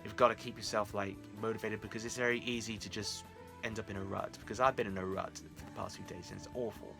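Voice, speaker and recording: A man's voice: on the low side, steady tone, quick pace, creaky, over music. A man talking casually to his viewers as the host of a vlog.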